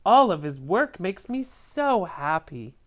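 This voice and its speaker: A woman's voice: on the low side, sing-song, slow pace. A woman voicing opinionated, gossipy crayon characters from a children's storybook in an exaggerated manner. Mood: gushing admiration. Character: chattering, opinionated crayons judging a classmate.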